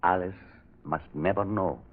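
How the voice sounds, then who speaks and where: An actor playing a loyal, secretive war veteran in an old radio mystery, speaking in a grave, anguished, confessional manner. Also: male voice, low-pitched, unhurried, slightly rough. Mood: defeated, grim. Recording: dull old radio recording.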